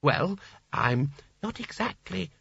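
A man's voice: high voice, big pitch swings, slow, vocal fry, a bit bright, slightly rough, dry recording, muffled recording, voice pushed up, exaggerated voice. A man voicing a small, timid wizard underling in an audiobook, sulky and hesitant yet stubbornly insistent.

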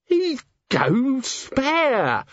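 A male narrator plays a common little fellow with a working-class English accent, slangy and artless. The voice sits high and swings about a lot, with a tipsy whine, recorded dry and a little dull. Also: expressive, normal speed, fairly bright tone, no room sound. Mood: alarmed. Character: common, uncouth little man, tipsy and cheerfully obtuse. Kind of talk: audiobook character acting.

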